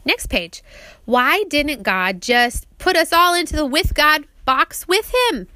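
Female author-narrator, high and bright, teacherly read-aloud of a religious children's book, prompting kids with questions, dry recording. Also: expressive, slow pace, no room sound. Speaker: author reading her audiobook aloud to her children.

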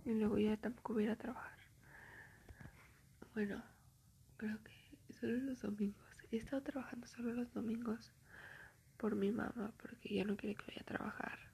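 A woman's voice: on the high side, flat delivery, moderate pace, long pauses, warm tone, a little hiss or hum. A young woman, whispering a chatty, gossipy ASMR storytime full of fillers. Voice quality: whispering, breathy.

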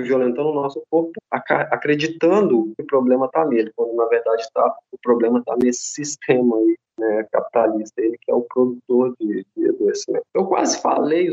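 A man with a husky, dark voice speaks earnestly and outspokenly as a podcast guest. He often restarts his sentences. The sound is dull and lo-fi. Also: mid-range voice. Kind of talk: casual podcast talk.

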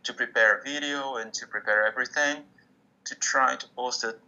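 Bright-voiced Portuguese-speaking man, hesitant English. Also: medium pitch, steady tone, moderate pace. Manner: hesitant, restarts often, searching for English words. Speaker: musician guest. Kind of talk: interview conversation. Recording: dull lo-fi sound.

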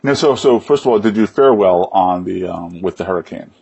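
Low, husky male interview host. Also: animated, a little fast, darkish tone. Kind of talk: casual live interview hosting.

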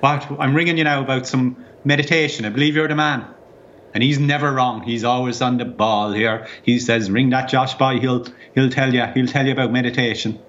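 A man's voice: medium pitch, steady tone, fairly quick, a bit bright, dull audio, natural voice. A man playing a crude, boozy rural Irish caller from Offaly who swears heavily and rambles. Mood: pushy.

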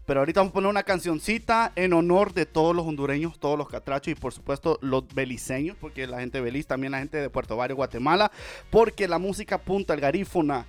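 A man hosting a casual Spanish-language radio podcast, talking with energetic, repetitive, playful hype. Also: on the high side, fast. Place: home studio in Las Vegas.

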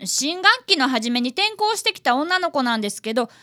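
Woman acting a bright, high-voiced earnest schoolboy. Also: big pitch swings, fairly quick, full character voice. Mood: earnest explaining. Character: earnest, polite fifth-grade boy, apprentice detective. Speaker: narrator and cast member of a radio drama. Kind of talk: radio drama acting.

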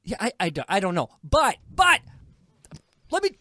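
A woman's voice: mid-range voice, moderate pace, no room sound. A woman chatting casually as a podcast host reviewing a film, giggly and emphatic in how she tells it. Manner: animated, giggly, emphatic storytelling. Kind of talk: casual movie review chat.

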